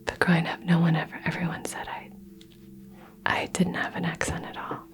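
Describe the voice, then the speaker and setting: A woman from the American South whispering slowly and soothingly through a crystal healing roleplay. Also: on the low side, completely flat delivery. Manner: soft, slow, soothing whisper. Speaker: ASMR creator doing a crystal healing.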